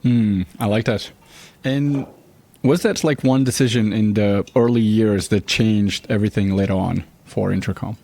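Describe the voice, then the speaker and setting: A man's voice: on the low side, fairly even intonation, moderate pace, a little mellow, dry recording. A man hosting an interview, asking casual questions and informally feeling his way into them. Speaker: podcast host, interviewer.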